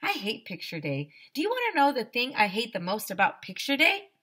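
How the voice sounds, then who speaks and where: A woman's voice: on the high side, huge pitch swings, brisk, pushed and bright, slightly rough, voice pushed up, exaggerated voice. A woman voicing a cocky, silly young schoolboy who tells his own story in a blunt, teasing way.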